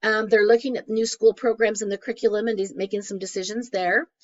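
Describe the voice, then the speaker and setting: A woman with a crackly, hoarse voice from a cold, presenting a class case study conversationally with frequent fillers. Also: mid-range voice, steady tone, quick pace. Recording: dull lo-fi recording.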